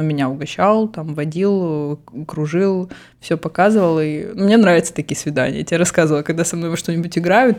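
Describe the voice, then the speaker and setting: A young woman co-hosting a casual podcast, animated and laughing as she tells stories. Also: deep voice. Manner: chatty, laughs often, animated storytelling. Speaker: podcast co-host.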